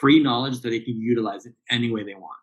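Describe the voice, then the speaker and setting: A man's voice: medium pitch, quick pace, slightly rough, lo-fi sound. A man in his late thirties, a barista guest, talking casually in a rambling way with lots of fillers and restarts. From Southern California. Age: 30s. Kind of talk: casual interview chat.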